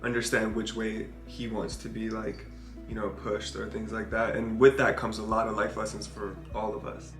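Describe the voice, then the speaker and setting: A man's voice: fairly low pitch, monotone, moderate pace. A young man in a casual vlog chat with his partner, talking informally with lots of "like". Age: young adult. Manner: informal, peppered with "like". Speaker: parenting vlogger. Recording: roomy echo, faint music behind.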